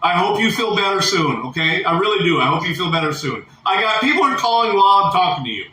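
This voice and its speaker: A man's voice: high voice, very animated, fast talker, pushed and bright, roomy sound, a little hiss or hum. A man supervising a work shift, arguing on a phone call in an irritated, lecturing way and talking over the other person. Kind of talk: heated phone argument.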